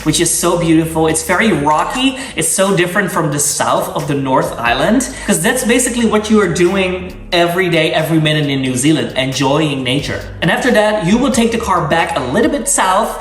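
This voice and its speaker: A man's voice: fairly high pitch, lively intonation, brisk, few pauses. Gushing Dutch-accented young man vlogging. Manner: enthusiastic, gushing, with many fillers and restarts. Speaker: travel vlogger. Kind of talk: casual travel-guide talk. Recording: roomy sound, mic at a distance.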